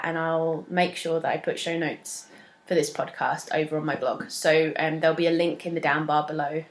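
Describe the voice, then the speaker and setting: Youngish woman rambling about knitting, kinda lowish voice, bit blocked-nose, lots of ums. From moved to Australia from elsewhere. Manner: chatty, warm-hearted, many ums and soft laughs. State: slightly stuffed up from a cold. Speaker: knitting podcast host. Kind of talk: casual solo chat to camera.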